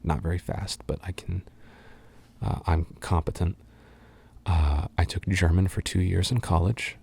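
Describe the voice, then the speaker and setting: A young adult man from the United States, talking in a soft, half-whispered way and rambling through fan questions with lots of ums and uhs. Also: low-pitched, fairly even intonation, unhurried, creaky, husky. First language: English. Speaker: voice actor answering fan questions.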